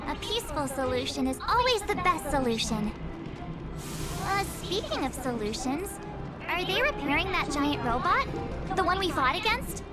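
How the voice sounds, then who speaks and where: Bright-eyed, high-pitched young girl. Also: sing-song, moderate pace. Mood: earnest, curious. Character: cheerful, earnest young girl adventurer. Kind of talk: anime-style video game voice acting. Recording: loud background music under the voice.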